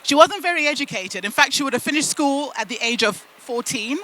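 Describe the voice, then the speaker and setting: A middle-aged woman whose first language is Shona speaks to an audience in a very high, strikingly bright voice. She is from the UK and Zimbabwe and tells her story deliberately, as a keynote speaker, leaning on emphatic pauses. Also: animated. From United Kingdom and Zimbabwe. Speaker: keynote speaker at a business event. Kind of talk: motivational storytelling talk to an audience.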